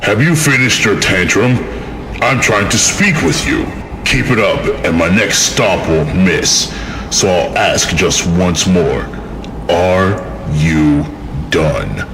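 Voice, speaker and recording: A man playing an imposing, stern winged monster lord in a fantasy audio drama, speaking in a measured, condescending, commanding way. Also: sits low, expressive, noisy background, full character voice. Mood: angry, menacing.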